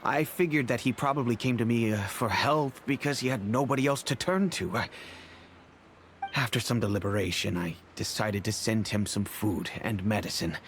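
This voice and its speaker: An actor playing a fatherly middle-aged merchant who speaks in a measured, reflective, remorseful way, over faint music. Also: on the low side, a little hiss or hum, natural voice. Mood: thoughtful. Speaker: wealthy patron and father figure. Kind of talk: video game voice acting. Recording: game audio with faint music.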